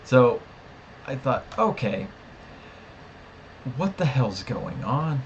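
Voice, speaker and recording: A man in a casual, rambling review chat, complaining in an animated, incredulous way. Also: medium pitch, takes it slowly, highs cut off, a little hiss or hum. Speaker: discussion show host.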